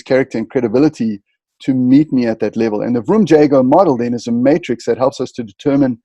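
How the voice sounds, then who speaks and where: A man from southern Africa, a guest in an interview, explaining leadership ideas in dense, lecture-like answers that trail off quietly at phrase ends. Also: mid-range voice, no echo.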